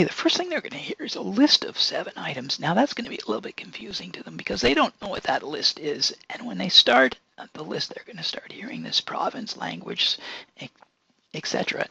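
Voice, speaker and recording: A man with a hoarse, whispery voice, casually explaining a software demonstration as an accessibility consultant, with many fillers. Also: high-pitched, big pitch swings, moderate pace.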